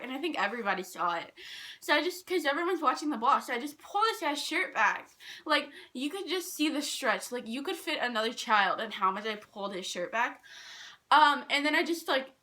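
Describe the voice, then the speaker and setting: A young woman telling personal stories in a casual, animated, rambling and self-deprecating way. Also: sits high, a little fast. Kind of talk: casual storytime chat.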